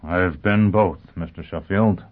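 A man's voice: low voice, unhurried. A man voicing a grim, bitter criminal tormented by conscience in an audiobook, speaking with grave intensity. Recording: narrow phone-like sound.

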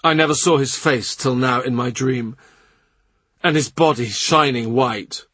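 A man's voice: medium pitch, unhurried, pushed and bright, slightly rough, no echo. A man reading the part of a troubled middle-aged king who dwells gloomily on a dream of his dead brother. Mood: brooding. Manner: brooding, troubled, speaks at length. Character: weary anxious middle-aged male king.

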